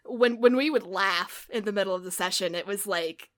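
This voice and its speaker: A woman's voice: mid-range voice, normal speed. A woman running a tabletop game, chatting casually about the session, with many filler words and easy laughter. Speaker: game master. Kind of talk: casual podcast chat after a tabletop game. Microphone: video call.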